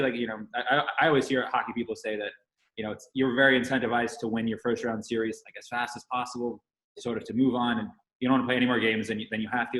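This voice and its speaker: A man's voice: medium pitch, fairly bright tone. A man co-hosting a casual sports podcast, asking questions in a loose, rambling way, peppered with "like". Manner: loose, rambling, says "like" often. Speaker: podcast co-host, interviewer. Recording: roomy, echoing sound.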